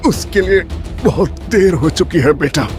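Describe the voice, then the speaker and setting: A man playing a dying king and loving father in a fantasy audio drama, speaking in halting, laboured, earnest phrases. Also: high voice, lively intonation, a little mellow, soft background music. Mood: pleading, dying.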